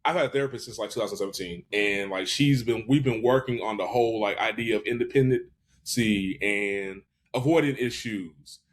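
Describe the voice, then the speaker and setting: A man's voice: on the low side, moderate pace, slight background noise. A man in his twenties, a podcast guest talking casually and reflectively, hesitant, with many restarts and fillers. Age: 20s. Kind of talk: casual reflective interview talk.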